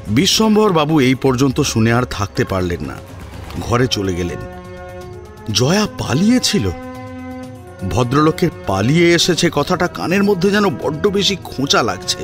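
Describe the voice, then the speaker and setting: Expressive male storyteller with lively pitch. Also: moderate pace, over music. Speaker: narrator. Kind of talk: dramatic scripted story narration.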